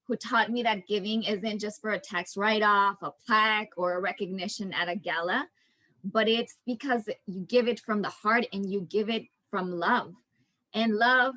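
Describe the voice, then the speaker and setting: A woman's voice: mid-range voice, bright, forceful tone, no echo, poor audio. A woman from Mexico introducing herself on a video call, passionate and emphatic. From Ciudad Juárez, Mexico. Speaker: foundation staff speaker.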